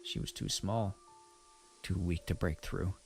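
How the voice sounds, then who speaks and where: Dramatic narrator, male actor: ashamed pony worker, low gravelly voice, grave and confessional. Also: measured pace, music in the background. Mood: grave, despairing. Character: guilt-ridden adult pony factory worker, ashamed, resigned. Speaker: first-person narrator.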